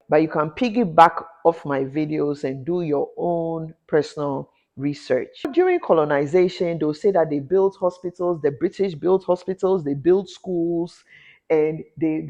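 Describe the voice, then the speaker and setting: Impassioned Ghanaian woman vlogger, muffled recording. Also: darkish tone. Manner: impassioned, ranting, rising in pitch when indignant. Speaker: fashion designer and vlogger. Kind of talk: talking-head commentary vlog.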